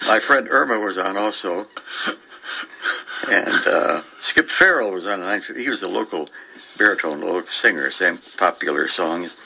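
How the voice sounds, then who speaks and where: An older man co-hosting a radio show, reading through old listings and reminiscing in a halting, meandering, casual way with chuckles. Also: sits low, expressive, vocal fry, pushed and bright, breathy edge, low-quality recording.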